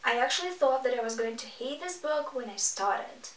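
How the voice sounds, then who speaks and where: A woman's voice: sits high, lively intonation, normal speed, fairly bright tone. A sarcastic, exasperated woman giving casual reaction commentary as she hosts a book review video. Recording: echoey room, distant mic.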